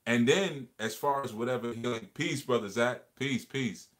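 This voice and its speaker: A man's voice: medium pitch, moderate pace, fairly bright tone. A man hosting a casual livestream discussion, talking earnestly and reflectively and often repeating words as he builds a point. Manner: earnest, reflective, repeats phrases while explaining. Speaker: livestream host.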